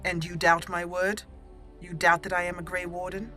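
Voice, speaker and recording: A woman's voice: fairly low pitch, flat delivery, normal speed, sharp tone, soft background music. A woman giving voice to her proud elf mage character with cool, cutting retorts, close to her own voice. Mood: defiant.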